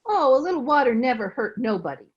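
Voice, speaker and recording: A woman's voice: high-pitched, big pitch swings, quick pace. A woman playing a determined, cheerful, folksy housekeeper in an old-time radio comedy, plain-spoken, on dull video-call audio. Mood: resolute. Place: recorded remotely over a video call.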